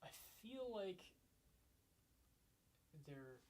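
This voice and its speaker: A man, a classical guitarist, chatting casually with his duo partner in a rehearsal and pausing often to find his words. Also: high voice, big pitch swings, a little mellow, slight background noise. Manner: hesitant, many ums and restarts.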